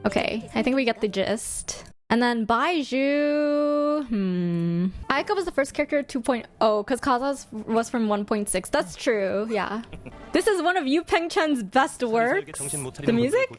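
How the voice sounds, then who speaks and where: A woman doing casual, expressive live stream commentary as she reacts to game trailers, with a giggly, exclaiming manner. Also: on the high side, measured pace. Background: music from game trailers.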